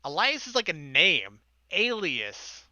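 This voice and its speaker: A man's voice: sits high, wildly swooping pitch, slow pace, bright, forceful tone, no echo. A man reading a visual novel aloud as a let's player, breaking into casual, sarcastic commentary.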